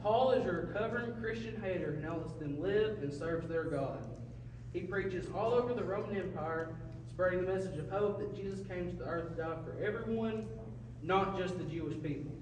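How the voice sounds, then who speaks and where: High-pitched sidekick announcer puppet done by a man. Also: moderate pace, natural voice. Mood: eager. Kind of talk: scripted comedy announcing. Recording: noisy echoey hall from far off.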